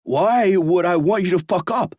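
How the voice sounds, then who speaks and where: A man voicing a volatile, distraught young male tennis pro in an audiobook, yelling and swearing in anguish. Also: on the high side, lively intonation, measured pace, dry recording, studio quality, voice pushed up. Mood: furious.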